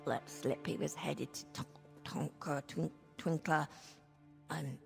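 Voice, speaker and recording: A woman's voice: low-pitched, fairly even intonation, slow pace, creaky, faint music behind, heavily acted. An actress playing a drunken female squatter in a fantasy video game, rambling, stumbling over words and lying badly. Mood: tipsy, muddled. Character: tipsy, rambling female squatter, chatty shameless bad liar. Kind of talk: video game character dialogue.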